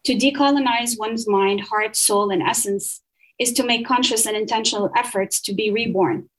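A woman's voice: on the high side, normal speed, rough recording. Earnest woman. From Saudi Arabia. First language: Arabic. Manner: earnest, with restarts and fillers. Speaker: researcher. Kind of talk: poetry reading then academic talk.

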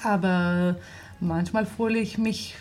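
Low-voiced woman with an Israeli accent. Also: fairly even intonation, slow pace, darkish tone. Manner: hesitant, searching for words with many fillers. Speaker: stage actress and guest. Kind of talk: casual interview talk. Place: radio studio.

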